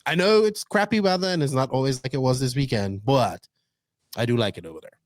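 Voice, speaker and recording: A man hosting a casual podcast, animated and teasing, rambling through his stories. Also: medium pitch, a little fast, no echo. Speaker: podcast host. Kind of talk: casual chat.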